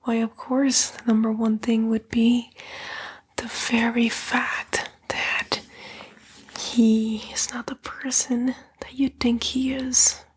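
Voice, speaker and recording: A woman voicing a smug, seductive female demon, a fallen angel, in a breathy, coaxing ASMR roleplay whisper. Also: a little high, heavily acted. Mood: sly, tempting. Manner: slow, coaxing, taunting, drawn-out whisper.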